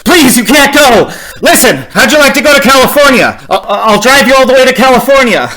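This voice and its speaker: A man's voice: very high voice, animated, brisk, sharp tone, full character voice. A man acting a haunted, desperate driver in an old radio suspense style, melodramatic and prone to stammering. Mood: desperate pleading. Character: frantic, haunted male driver on a lonely road.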